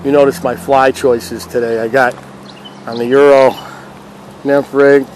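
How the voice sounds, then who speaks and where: Guy vlogging while fishing in a river, folksy chatty talk, average pitch, noticeable outdoor background noise. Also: flat delivery, measured pace, darkish tone, rough recording. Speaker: fishing vlog host. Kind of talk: casual outdoor fishing commentary.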